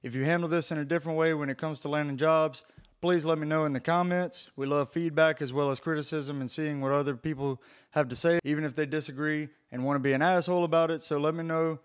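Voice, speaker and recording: A man's voice: fairly high pitch, fairly even intonation, fast, clean tone. A man who runs a pressure washing business, narrating a voice-over in a blunt, casual way and swearing freely.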